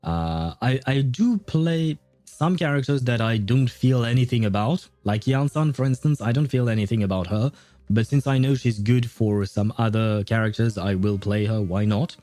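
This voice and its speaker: A man's voice: medium pitch, normal speed, dark tone, no echo, faint music behind. A man livestreaming a video game, chatting casually with viewers in a conversational, meandering way.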